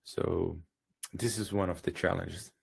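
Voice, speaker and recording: A deep-voiced man with a gravelly, hoarse edge and a Portuguese accent speaks slowly on a video call. English is not his first language, so he hesitates, restarts and fills gaps with "um" as he presents. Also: fairly even intonation. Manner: hesitant, many fillers and restarts. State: hoarse, a bit sick. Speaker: guest presenter. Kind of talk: webinar presentation.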